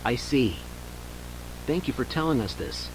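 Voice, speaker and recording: A man's voice: mid-range voice, animated, lightly acted. A man playing a composed, formal investigator interviewing a witness in an analog horror audio drama, over hum and hiss. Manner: formal, measured, official.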